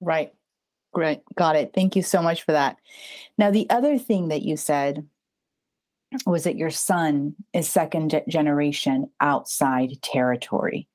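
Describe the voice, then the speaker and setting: Low, warm-toned woman interviewer. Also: measured pace. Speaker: interviewer, host. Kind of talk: interview questions.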